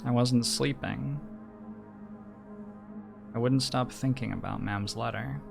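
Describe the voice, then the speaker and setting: A man reading the text of a story game aloud in measured phrases, as a streamer narrating. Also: mid-range voice, steady tone, vocal fry, music in the background.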